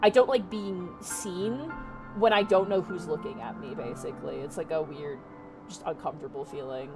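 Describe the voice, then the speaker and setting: Low-voiced woman in casual stream chat. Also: normal speed, over loud music. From Canada. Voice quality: naturally low voice. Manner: rambling, many fillers and restarts.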